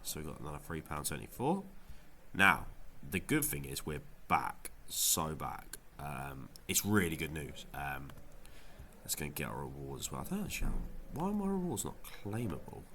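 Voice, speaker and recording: A deep-pitched man whose voice comes out nasal from a head cold. His tone is bright and forward, and he talks through his results in a loose, rambling way, full of ums and false starts. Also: very animated, normal speed, a little hiss or hum. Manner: rambling, lots of ums and restarts. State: has a cold, sounds nasal. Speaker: fantasy football video creator. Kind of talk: casual gameweek review vlog.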